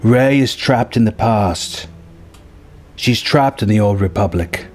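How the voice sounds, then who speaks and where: A man telling a film story as a narrator, with a dramatic delivery and pauses for suspense. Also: on the low side, a little mellow. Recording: music bed under the voice. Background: music.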